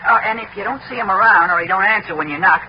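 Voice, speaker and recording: A man plays a talkative, easygoing gas station attendant in a 1960s radio play. He rattles along in a high, folksy voice. The recording is slightly noisy and dull. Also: racing, close to an everyday voice. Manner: folksy, colloquial, rambling. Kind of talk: 1960s radio drama acting. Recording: muffled old radio recording, faint noise.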